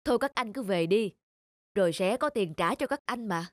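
A man voicing a modest, kindly, middle-aged older brother in a cartoon, calm and plain-spoken. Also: high voice, normal speed. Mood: reassuring. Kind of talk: animated cartoon dialogue.

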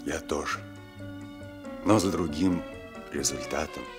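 A man playing a gruff, weary, loyal police sergeant, terse and subdued, in a 1960s Soviet radio drama. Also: a bass voice, takes it slowly, over loud music. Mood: resigned.

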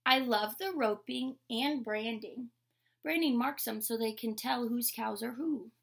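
A young adult woman reading a picture book aloud like a teacher, in a measured, instructional way. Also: on the high side, creaky, slightly rough. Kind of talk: picture-book read-aloud with short explanations. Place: indoor room with painted mural wall.

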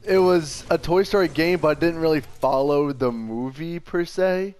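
A man's voice: a little high, moderate pace, a little mellow. A young man chatting casually while playing a video game, slangy and sweary, peppering his talk with "like". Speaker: gaming show host.

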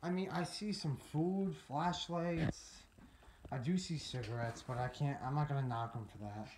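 A man's voice: fairly high pitch, normal speed. Nervous young man on noisy handheld recording. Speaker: video host exploring abandoned houses. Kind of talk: casual handheld exploration commentary. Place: inside a cluttered abandoned house.

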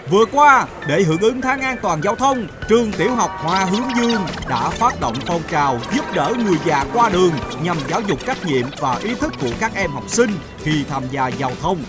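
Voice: mid-range voice, animated, normal speed, few pauses, lightly acted. An actor playing an eager cartoon news announcer, reading scripted narration. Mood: upbeat. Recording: music under the voice.

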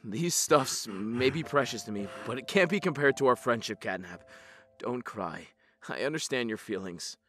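A man voicing a kind, troubled male dog creature who leads others in a cartoon, speaking earnestly and gently with some hesitation. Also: medium pitch, lively intonation, moderate pace, natural voice. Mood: comforting. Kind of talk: fan-made cartoon voice acting.

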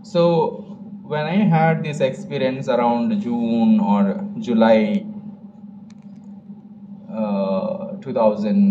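A man preaching a homily in a slow, unhurried way, full of "uh" and "like." His voice comes from some distance off a stand mic and rings around a roomy chapel. The sound is dull and lo-fi with a steady hiss underneath. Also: fairly low pitch. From India. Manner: conversational, with many fillers like "uh" and "like". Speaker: priest giving a homily. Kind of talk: sermon. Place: chapel with stage curtains. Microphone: stand mic on a gooseneck at the chest.

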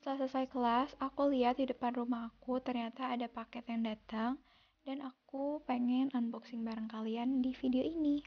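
A young woman from Indonesia narrating her daily vlog as a calm, unhurried voice-over, recorded separately in a quiet room. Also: fairly high pitch, dull audio. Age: young adult. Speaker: vlogger. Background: soft background music.

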